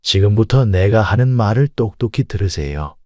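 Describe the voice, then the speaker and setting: A man's voice: lowish voice, expressive, normal speed, mellow, no echo, studio quality, natural voice. A man playing a firm, principled company president, speaking formally and with authority in audiobook story dialogue.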